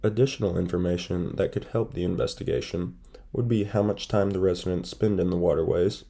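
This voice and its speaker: Young male student presenter, nasal and stuffy, low gravelly voice, formal measured read. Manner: measured, formal, steady delivery. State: stuffy from a sinus infection.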